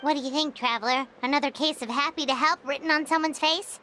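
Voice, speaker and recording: A woman voicing a tiny, childlike, curious floating companion in a video game, squeaky and exclamatory. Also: very animated, slow, pushed and bright, a little hiss or hum, full character voice. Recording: studio voice over game music.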